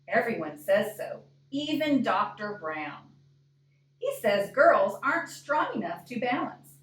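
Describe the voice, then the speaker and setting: A woman voicing an earnest, worried young brother from a picture book, giving hushed warnings. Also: a little high, slight background noise. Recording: echoey room, distant microphone.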